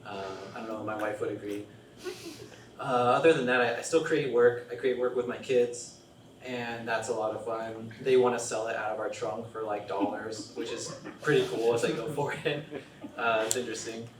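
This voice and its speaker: Hesitant, soft-spoken man in an echoey hall. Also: medium pitch, fairly even intonation, moderate pace. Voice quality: quiet voice. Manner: hesitant, stammering, self-deprecating. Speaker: panelist, software designer. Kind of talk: panel discussion. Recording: echoey hall, distant mic, noise.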